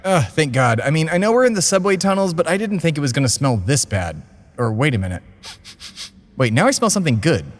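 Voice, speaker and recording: An actor playing a squeamish young sidekick in a comedy audio drama, talking casually with a whiny, exasperated manner. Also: male voice, on the high side, big pitch swings, brisk. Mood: uneasy. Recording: reverberant tunnel-like hall.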